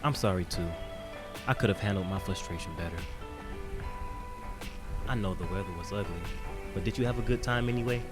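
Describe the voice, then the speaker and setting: A man's voice: fairly low pitch, a little fast, pauses often, loud music behind the voice, lightly acted. A man playing an easygoing, cautious young hiker in an amateur audio drama. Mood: apologetic.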